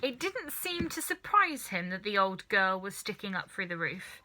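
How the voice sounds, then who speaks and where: A woman narrates a children's book expressively. Her voice is bright and crisp at a middle pitch, with very lively intonation. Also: normal speed, a little hiss or hum. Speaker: storybook reader.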